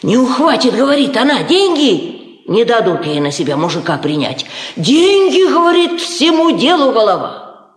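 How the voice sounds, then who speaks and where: A scheming old peasant mother in a vintage Soviet radio play. She coaxes and bosses in rustic Russian dialect, her pitch swooping widely. The studio sounds roomy. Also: big pitch swings, normal speed. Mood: sly coaxing. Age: older. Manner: folksy, insistent, coaxing. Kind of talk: 1950s Soviet radio drama acting.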